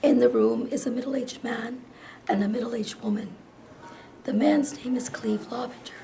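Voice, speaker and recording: Woman, stage directions reader, scripted delivery, distant mic, clear background noise. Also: on the high side, steady tone, normal speed, dark tone, roomy sound. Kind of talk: scripted narration at staged reading.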